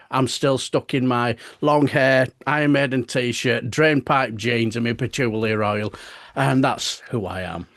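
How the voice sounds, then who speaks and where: A man's voice: mid-range voice, unhurried, fairly bright tone, no room sound, studio quality. A man in his fifties chatting casually on a podcast, rambling and restarting his sentences. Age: 50s. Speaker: podcast co-host. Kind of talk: casual chat.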